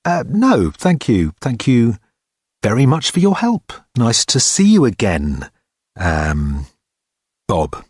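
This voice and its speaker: A man's voice: a little high, sing-song, normal speed, no echo, studio quality, voice pushed up. A man voicing a flustered, awkward, hesitant man in an audiobook, stammering and apologetic. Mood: flustered, polite. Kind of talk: audiobook character dialogue.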